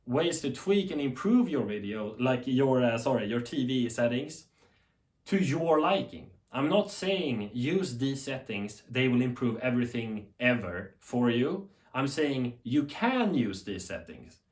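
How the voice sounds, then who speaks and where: A man's voice: mid-range voice, lively intonation, measured pace. Young Swedish-accented male tech talker, earnest, rambling, self-correcting, echoey room. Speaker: tech YouTuber. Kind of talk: unscripted talk to camera.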